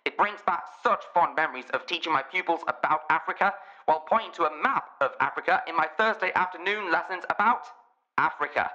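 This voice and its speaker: A man's voice: a little high, fairly quick, pushed and bright. A man playing an earnest, self-important radio presenter, polished and grandly announcing, with dull band-limited sound. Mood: earnest, upbeat.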